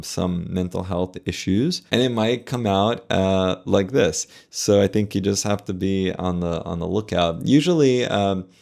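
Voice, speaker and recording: A man speaking as a plastic surgeon, giving casual, measured, explanatory commentary in reaction to a video. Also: sits low, creaky, darkish tone.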